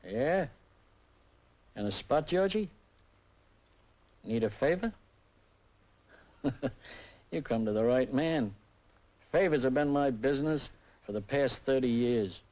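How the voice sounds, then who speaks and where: Genial, knowing older fixer. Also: male voice, medium pitch, animated, slow pace, long pauses, darkish tone. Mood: confident, obliging. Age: middle-aged or older. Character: genial, confident veteran political fixer, a hospital patient. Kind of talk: old crime drama acting.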